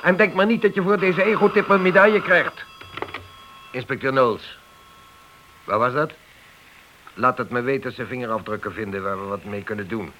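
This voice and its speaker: A man's voice: medium pitch, normal speed, pauses often, muffled recording, natural voice. A man playing a stern, sarcastic police inspector with an authoritative manner in a Dutch radio drama. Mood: brisk, businesslike. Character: stern, angry police inspector dressing down a subordinate. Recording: hissy old radio play recording.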